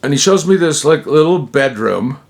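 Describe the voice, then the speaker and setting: A man's voice: fairly high pitch, animated, moderate pace, gravelly. A man in his sixties from Utah, telling a personal story in a digressive, chuckling way, breaking off into asides and slang. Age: 60s. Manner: digressive, self-interrupting, chuckling. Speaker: vlogger.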